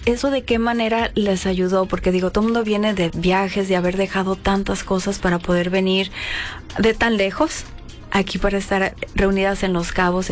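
A woman hosting a casual interview, talking in an upbeat, effusive way. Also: mid-range voice. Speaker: host.

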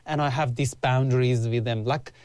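A man's voice: medium pitch, fairly even intonation, normal speed, darkish tone, no room sound. A man in his thirties, originally from Afghanistan and raised in Iran, speaking earnestly as an interview guest, often restarting his sentences. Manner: earnest, with frequent restarts and "like" fillers. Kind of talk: casual interview chat.

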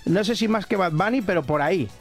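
A man's voice: high-pitched, animated, fast, faint music behind. A man with a Spanish accent presenting radio comedy, with wry, colloquial, opinionated banter. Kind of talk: casual comic banter.

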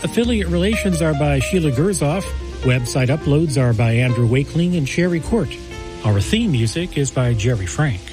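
Male credits voice, steady read, theme music clearly audible underneath. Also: on the high side, moderate pace. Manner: steady, even credit reading. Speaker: show credits announcer. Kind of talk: scripted closing credits. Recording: clear music bed behind.

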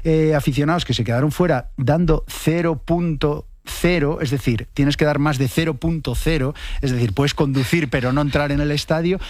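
A man with a Spanish accent talking casually and earnestly as a radio contributor, sometimes halting as he argues. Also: a little high, a little mellow, no echo, studio quality.

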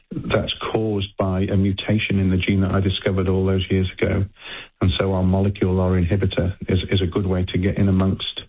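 An older man with a Liverpool accent speaks haltingly as a scientist guest on a phone interview. His low voice is gravelly and husky, flat in tone. The sound is thin and tinny, like a phone line. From England. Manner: halting, repeats words while thinking.

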